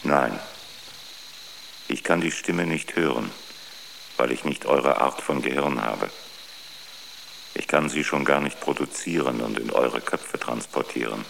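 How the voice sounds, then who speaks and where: An actor playing a calm, impassive ship's computer in a radio drama, speaking in a measured, unemotional way. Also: male voice, a bass voice, gravelly, slight background noise, full character voice, rough recording. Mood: calm, guarded. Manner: measured, matter-of-fact, unemotional.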